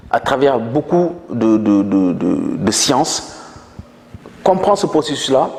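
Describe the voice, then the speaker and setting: A man's voice: mid-range voice, darkish tone. Manjak-speaking guy explaining stuff earnestly, lots of uhs, roomy echo, long reverb. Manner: earnest lecturing tone with many hesitations. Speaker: guest. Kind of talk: explanatory interview talk.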